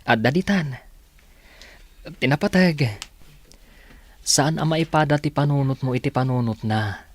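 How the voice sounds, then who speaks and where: A man's voice: medium pitch, unhurried, long pauses, no echo, close to an everyday voice. A man playing a decent, earnest young villager in a radio drama, speaking with an Ilocano accent. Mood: sympathetic, earnest. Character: decent, sympathetic young man, disapproving of his womanizing cousin.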